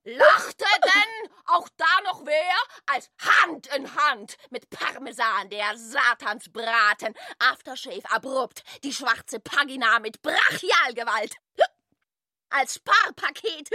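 High, bright woman declaiming very slowly. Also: lively intonation, dry recording, clean studio sound, full character voice. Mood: theatrical. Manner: exaggerated, drawn-out declaiming of nonsense word lists. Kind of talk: experimental sound-poetry radio play.

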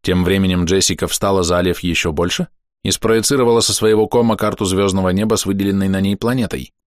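A man narrating a novel in the first person, telling the story in a measured, conversational way. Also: low voice, steady tone, dry recording, studio quality. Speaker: audiobook narrator.

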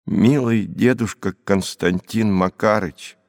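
A naive peasant apprentice boy pours out his troubles plaintively as a man narrator reads him. The voice is low and recorded dry and close, in a storybook manner. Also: takes it slowly, a little mellow, no room sound, long reverb tail. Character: plaintive nine-year-old peasant apprentice boy writing a letter. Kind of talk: audiobook character reading.

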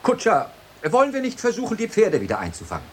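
An actor in a German radio drama playing a melancholy, courteous sea captain with a formal way of speaking. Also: male voice, high voice, wildly swooping pitch, fast, a little hiss or hum. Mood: urgent.

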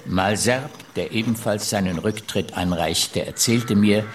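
Man playing a grave, low-voiced royal minister. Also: lightly acted. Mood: bitter, resigned. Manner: grave, emphatic, measured phrasing. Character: aggrieved French royal minister reciting his letter. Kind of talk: radio drama, letter read aloud.

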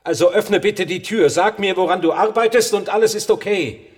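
An actor playing an impatient, controlling research boss, insistent and sardonic, in a radio play. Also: male voice, sits high, moderate pace, breathy edge. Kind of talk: German radio play acting. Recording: long hall reverb.

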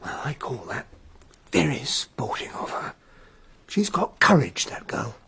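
An actor playing a naive, gallant upper-class English gentleman in a radio drama, blustery and earnest. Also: a little high, sing-song, measured pace, gravelly, noisy background, full character voice. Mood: admiring, gallant.

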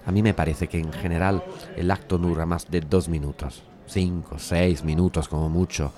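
A man's voice: sits low, steady tone, normal speed, crowd noise, lightly acted. An actor from playing an unsure, curious man who tentatively confides private worries to a friend. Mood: confiding. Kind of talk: Spanish audio fiction dialogue.

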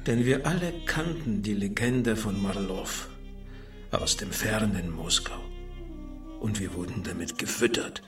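An actor playing a suave, sadistic crime boss in a radio drama, gloating with ceremonious, menacing politeness. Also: male voice, on the low side, a little slow, far from the mic, over music, natural voice. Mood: gloating reverence.

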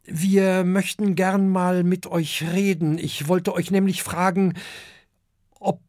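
A storybook narrator voicing an earnest, polite young male dragon, a son with a gentle, hesitant way of talking. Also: medium pitch, level tone, takes it slowly, no echo, studio quality, voice pushed up, close to an everyday voice.

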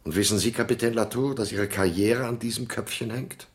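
A demanding army colonel in a radio drama, played by a man. He has a fairly low voice and addresses his subordinate with sardonic authority. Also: brisk, lightly acted. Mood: pointed warning. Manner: commanding, sardonic. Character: displeased, demanding French army colonel, superior officer. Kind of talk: German radio play acting.

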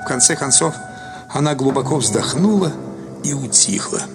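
A man narrating a literary story as an actor would, in an expressive scripted reading. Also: mid-range voice, normal speed. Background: music.

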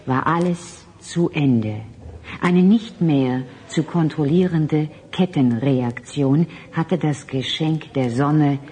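A transhuman alien in a radio play, with a dark, low voice. The figure speaks solemnly and formally in stilted phrasing, over faint background noise. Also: female voice, takes it slowly. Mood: solemn, earnest. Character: calm, solemn transhuman alien emissary among humans. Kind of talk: German radio drama acting.

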